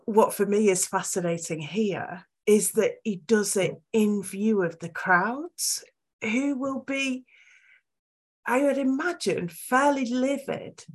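A woman reflecting aloud on a Bible story in a casual discussion. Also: mid-range voice.

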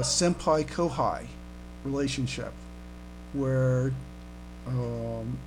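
Halting, slow-paced man on podcast. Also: mid-range voice. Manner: halting, repeats words. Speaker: podcast host. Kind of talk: casual podcast discussion. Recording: humming noisy internet call.

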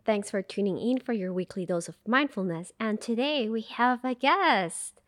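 A woman's voice: a little high, expressive, normal speed. A woman hosting a mindfulness podcast who interviews her guest in a friendly, conversational way. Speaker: podcast host.

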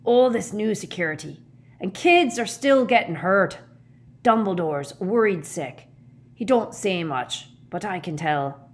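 A woman voicing a huge, shaggy, anxious man with a hoarse growl and slow rustic speech in a storybook. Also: medium pitch, lively intonation, lightly acted.

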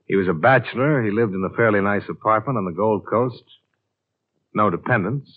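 Man playing hard-boiled insurance detective, American accent, low voice, blunt, businesslike, vintage radio drama, tinny band-limited recording. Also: brisk, lightly acted. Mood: businesslike questioning. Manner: blunt, firm, matter-of-fact. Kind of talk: 1950s radio detective acting and narration.

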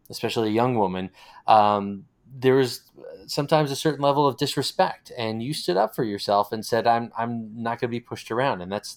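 A man's voice: mid-range voice, vocal fry. An American man hosting a conversational interview, talking in an encouraging, affirming and discursive way. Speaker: interview host.

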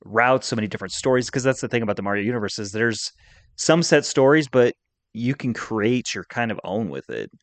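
A man's voice: medium pitch, animated, fairly quick, no room sound. Male podcast host, mid-range voice, dry sound. Casual, opinionated, enthusiastic and emphatic.

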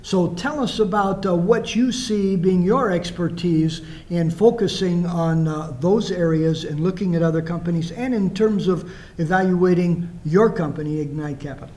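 A man hosting a radio interview at a banquet, asking questions in a halting way with many restarts. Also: high voice, a little mellow. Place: banquet hall.

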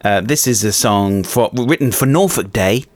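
A man hosting a podcast in casual chat, hesitant and quick to laugh. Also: on the low side, no echo. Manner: giggly, hesitant, laughs often. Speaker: podcast host.

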